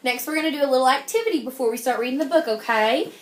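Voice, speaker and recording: Lady teacher doing a storytime for little kids, high voice, encouraging, lots of questions, roomy echo. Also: racing. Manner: encouraging, addresses the class as guys, frequent check-in questions. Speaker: teacher reading a picture book to young children. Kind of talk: interactive classroom read-aloud with questions and praise. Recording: echoey room.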